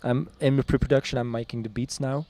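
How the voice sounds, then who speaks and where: Guy chatting on his vlog, warm mellow voice, lots of ums and laughs. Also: mid-range voice, steady tone, fast. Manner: hesitant, chatty, laughs often. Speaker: vlog host, singer-songwriter. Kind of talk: casual conversation.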